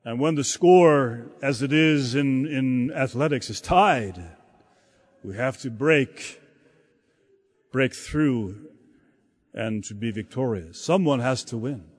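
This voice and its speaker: Slow-preaching man in a reverberant hall. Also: mid-range voice. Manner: slow, halting delivery with frequent repeated words. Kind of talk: sermon.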